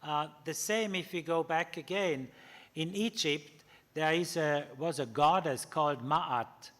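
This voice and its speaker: A man's voice: a little high, fairly bright tone. German-speaking man lecturing with many fillers. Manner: halting, many fillers and restarts; pauses on single words, slow in places. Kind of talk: public lecture.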